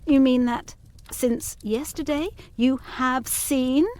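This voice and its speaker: A young governess in a gothic ghost story. Her voice sits a little high, her phrasing is formal and literary, and she sounds earnest, intense and anxiously determined. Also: steady tone, slow pace, a little mellow. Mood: tense, urgent. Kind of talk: audio drama acting of Victorian gothic ghost story.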